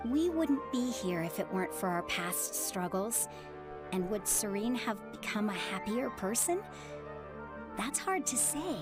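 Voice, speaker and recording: An actress voicing a calm, kind widowed mother in a video game, speaking in a measured, gentle, reassuring way. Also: medium pitch, over music, close to an everyday voice.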